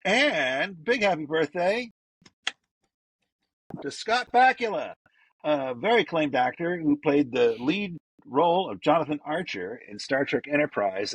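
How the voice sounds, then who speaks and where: A man hosting a fan podcast, giving casual, effusive birthday shout-outs, rambling with lots of fillers. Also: mid-range voice, slightly rough, no room sound, low-quality recording. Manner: effusive and enthusiastic, loose with fillers. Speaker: podcast host.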